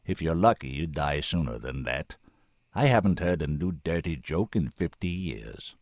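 A man voicing an old senator in an audiobook story, weary, commanding and gravely kind, speaking slowly and deliberately. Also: a bass voice, lively intonation, no room sound, clean studio sound, heavily acted. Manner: slow, deliberate, thundering when roused.

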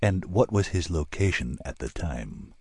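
A man voicing a big bearded student in an audiobook, with a deep rumble and a pedantic, deliberate, menacingly patient manner. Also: huge pitch swings, vocal fry, warm tone, breathy edge, no room sound, heavily acted. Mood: menacing patience.

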